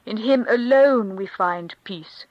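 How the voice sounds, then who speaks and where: A woman's voice: mid-range voice, slow, no room sound, muffled recording, pitched above the performer's own voice, lightly acted. A woman voicing a rapturous devout countess. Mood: fervent. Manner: fervent, consoling, preaching. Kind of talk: audiobook character reading.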